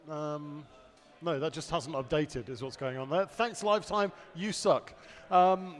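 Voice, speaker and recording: A man hosting a live race stream, reading chat aloud and commentating, his voice getting worn. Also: high voice. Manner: chatty, reads out chat messages, laughs easily. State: losing his voice. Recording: long hall reverb.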